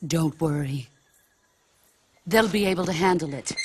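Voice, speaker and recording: A woman's voice: deep voice, a little slow, a little mellow, a little hiss or hum. An actress playing a grave, authoritative female military commander in a dramatic video game cutscene. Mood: grave assurance. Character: stern, composed veteran female soldier, legendary commander.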